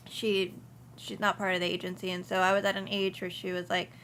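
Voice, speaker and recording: A woman's voice: medium pitch, level tone, brisk, a bit bright, a little hiss or hum. A young woman from Houston, speaking casually as an interview guest, with many ums and restarts. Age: young adult. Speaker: insurance agency principal, guest.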